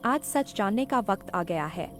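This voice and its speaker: A woman voicing an anxious, sorrowful mother, grave and emotional, in an animated horror story. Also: medium pitch, a little slow, natural voice. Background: music.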